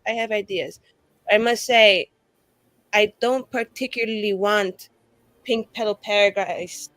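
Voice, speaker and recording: A woman's voice: mid-range voice, fairly bright tone, dry recording, close to an everyday voice, rough recording. A woman playing a flamboyant, tipsy elf writer who rambles and boasts with self-dramatising flair in a tabletop game. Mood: boastful, tipsy.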